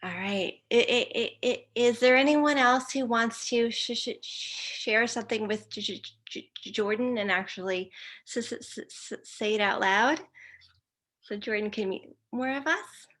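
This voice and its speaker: A woman's voice: on the high side, measured pace, a bit bright. A woman who stutters openly, moderating a friendly video call and reading out chat messages with frequent laughter. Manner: stutters with repeated sounds, upbeat and laughing often.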